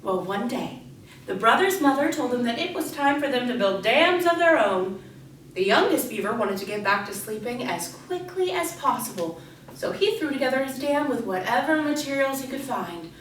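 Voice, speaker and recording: Intense, falsely sweet female grade-three teacher acted by a woman, stage monologue to children, dramatic pauses, heard from afar in a reverberant room. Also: a little high, animated, lightly acted. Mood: storybook telling. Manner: over-enunciated, addressing children, dramatic pauses. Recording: echoey room, distant mic, faint noise.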